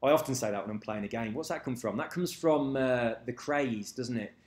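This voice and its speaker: A man's voice: medium pitch, fairly quick, a little mellow. Rambling man in a roomy space. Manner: rambling, swears freely, loses track of names. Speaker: vlogger. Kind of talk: casual rambling chat to camera. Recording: echoey room.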